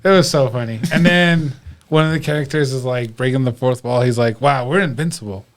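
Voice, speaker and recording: Informal, jokey man, middling pitch. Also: fairly quick. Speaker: podcast guest. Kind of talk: casual chat.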